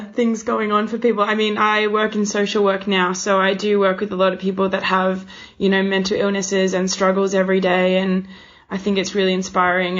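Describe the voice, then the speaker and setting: A woman's voice: mid-range voice, muffled recording. A woman hosting a casual interview, talking in an encouraging, rambling, conversational way.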